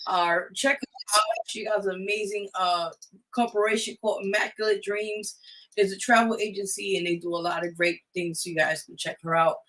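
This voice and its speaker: A woman's voice: mid-range voice, steady tone, brisk, a bit bright, poor audio. A woman hosting a live show, giving casual announcements and addressing listeners informally as a group. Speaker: radio show host.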